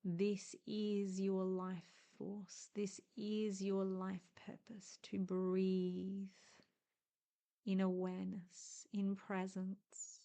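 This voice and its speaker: Very slow, dark-voiced woman guiding meditation. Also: medium pitch, completely flat delivery. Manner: gentle, hushed, deliberate phrasing with long pauses. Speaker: meditation guide. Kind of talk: guided meditation, slow and soothing.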